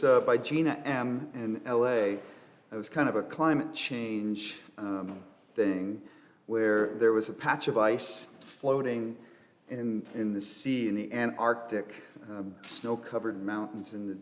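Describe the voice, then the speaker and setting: A singer-songwriter man at a live concert, introducing his song softly and hesitantly, with many pauses and fillers. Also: medium pitch. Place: historic hall, live concert. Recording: live music hall recording with guitar.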